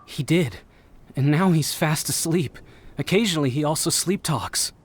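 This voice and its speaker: An actor voicing a hesitant villager who reports on a victim in a halting way. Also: medium pitch, lively intonation, slight background noise, close to an everyday voice.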